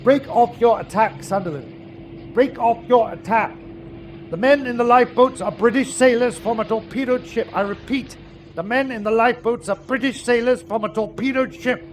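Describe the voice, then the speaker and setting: An actor playing a commanding, gruff and defiant U-boat captain in a wartime radio drama, with clipped, emphatic military delivery. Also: male voice, extremely high pitch, moderate pace. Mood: insistent. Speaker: submarine captain. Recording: radio drama with loud engine noise.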